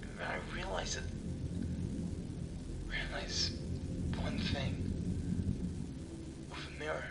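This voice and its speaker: A man narrating a first-person horror story dramatically, in halting short phrases that often drop to a breathy half-whisper. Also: on the high side, big pitch swings, heavy background noise. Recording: echoey room with music underneath.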